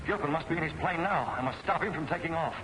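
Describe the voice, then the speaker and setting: Brief: male actor as urgent masked hero, fast clipped delivery, fairly high pitch, vintage film serial. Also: background noise, lightly acted. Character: masked crimefighter hero, commanding, urgent, relentless man. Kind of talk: 1940s film serial acting. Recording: old film soundtrack, loud music and engine.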